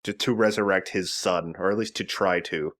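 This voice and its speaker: A man's voice: low-pitched, fairly even intonation, moderate pace, a bit bright. A man giving casual, chatty commentary as he explains a video game. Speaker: let's play host.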